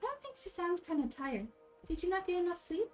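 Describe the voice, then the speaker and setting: A woman voicing a small, childlike fairy game companion who is excitable and nosy, with a squeaky high voice full of questions and exclamations. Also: brisk, faint music behind. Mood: curious, concerned. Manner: exclaiming, questioning, speaks of self in third person.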